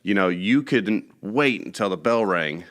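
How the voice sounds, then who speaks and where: A man with a very low, deep voice hosts a casual podcast. He jokes around and swears freely. He also talks as a boss about his business. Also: animated, a little slow, a bit bright. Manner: joking and profane. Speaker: podcast host, business owner.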